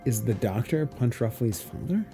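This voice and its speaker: A man's voice: mid-range voice, expressive, moderate pace, warm tone, faint music behind. Dry, amused male co-host. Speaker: co-host guiding the player. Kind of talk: casual comedic chat.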